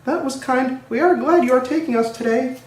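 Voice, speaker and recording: A man's voice: extremely high pitch, brisk, pitched above the performer's own voice. A man voicing prim, fussy railway coaches in a dainty children's storybook character voice. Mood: fussy, grateful. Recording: roomy echo, distant microphone.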